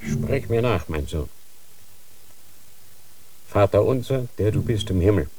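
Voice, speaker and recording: An actor playing a stern elderly grand inquisitor in a radio drama, grave and commanding. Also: male voice, fairly low pitch, expressive, unhurried, mellow, rough recording. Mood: solemn.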